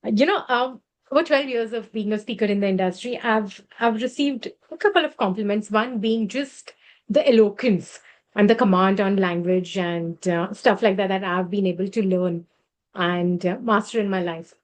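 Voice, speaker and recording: Woman, 30s, from Gujarat India, chatty storyteller, middle pitch. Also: normal speed. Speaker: speaker sharing a personal story. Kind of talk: storytelling to an online group.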